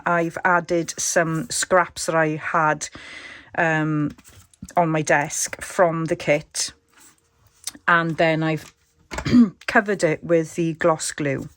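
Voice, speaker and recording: A woman with a croaky voice, thinking aloud as she demonstrates a casual craft tutorial. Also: on the low side, no room sound. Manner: chatty, thinks aloud while working. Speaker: crafter demonstrating junk journal covers.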